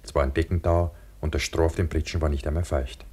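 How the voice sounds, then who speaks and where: Very deep-voiced, brooding mountain cable-car worker. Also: male voice, steady tone, quick pace, mellow, slightly rough. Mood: brooding, reflective. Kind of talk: German radio play acting and first-person narration.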